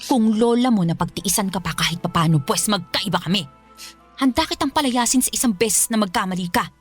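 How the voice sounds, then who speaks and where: A woman's voice: medium pitch, expressive, brisk, breathy edge, soft background music. A woman voicing a scheming, cruel middle-aged mother who poses as an aunt, in a radio drama. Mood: scolding. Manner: sugary to husband, cruel and scolding to niece.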